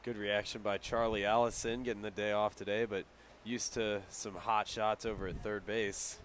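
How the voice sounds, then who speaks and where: A man's voice: lowish voice, level tone, normal speed, fairly bright tone, slight background noise. An American man giving color commentary and analysis on a live baseball broadcast.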